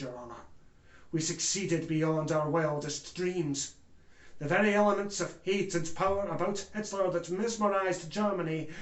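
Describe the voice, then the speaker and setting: Man playing remorseful German ex-judge, male, theatrical courtroom monologue, grave oratory, higher-pitched voice, roomy echo. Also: lightly acted. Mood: bitter, questioning. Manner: grave, deliberate oratory with swells from hushed to loud. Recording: echoey room.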